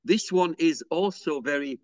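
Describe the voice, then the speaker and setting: Man, slightly higher voice, dry sound, hesitant, video call interview. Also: normal speed, no room sound, dull audio. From England. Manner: hesitant, with fillers and restarts. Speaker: pysanky artist and interviewee. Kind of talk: unhurried explanatory interview talk.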